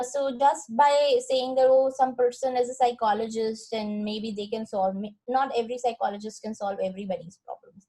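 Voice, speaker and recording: A woman's voice: fairly high pitch, darkish tone, low-quality recording. A woman speaking as a psychologist in an online question session, explaining things and often checking in with "right?" and "you know". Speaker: psychologist, invited guest speaker. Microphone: online group call.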